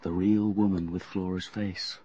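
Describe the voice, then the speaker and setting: A man's voice: deep voice, flat delivery, measured pace, warm tone, highs cut off, a little hiss or hum. An actor playing an infatuated, uneasy young British man in a radio drama, speaking hesitantly and introspectively. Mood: brooding, reflective. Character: earnest, infatuated, uneasy young man telling his story. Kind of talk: radio drama acting with confessional first-person narration.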